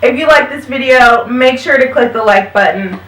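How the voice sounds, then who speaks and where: A woman's voice: sits high, normal speed, a bit bright. A woman in her thirties, an upbeat host and mother, chatting casually about podcasts with her kids. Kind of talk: casual chat recommending podcasts. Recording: roomy sound, mic at a distance.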